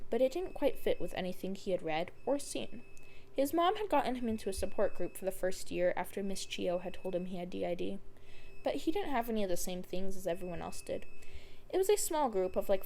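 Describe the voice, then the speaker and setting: A woman's voice: medium pitch, mellow, slight background noise. A woman narrating a scripted story as a fan fiction reader.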